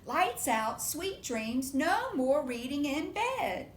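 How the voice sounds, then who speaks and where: An exasperated storybook mother monkey as a woman reader acts her out lays down bedtime rules. The voice is high, loud and firmly emphatic, with deliberate pacing in an echoey room. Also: big pitch swings, full character voice. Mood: firm, stern. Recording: roomy echo with faint low rumble.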